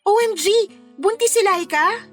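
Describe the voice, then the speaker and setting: A woman's voice: very high voice, a little slow, fairly bright tone, soft background music. An actress playing a sassy, playful career woman in a Filipino radio drama, teasing and exclamatory as a concerned confidante. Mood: shocked, curious.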